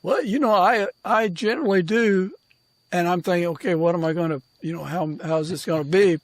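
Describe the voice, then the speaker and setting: An older man hosting a conversational interview podcast, speaking in a halting, gently courteous way. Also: high-pitched, dry recording. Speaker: podcast host.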